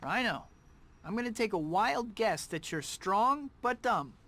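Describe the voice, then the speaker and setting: A man's voice: high voice, expressive, measured pace, very noisy. A young man playing an earnest, wisecracking student hero in a video game, casual and quippy. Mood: mocking. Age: young adult.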